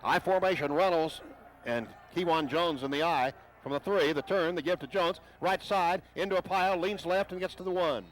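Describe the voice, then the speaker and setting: A man giving radio play-by-play of a football game, rapid and building to excited shouts on big plays. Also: a little high, rough recording.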